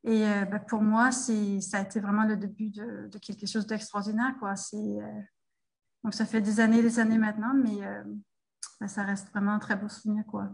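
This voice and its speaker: A middle-aged woman talks as a guest over a remote call. Her pitch barely moves, and her speech stumbles along with many fillers and restarts. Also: flat delivery, normal speed, creaky, a little mellow, low-quality recording. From Denmark. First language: Danish. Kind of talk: casual interview over a remote link.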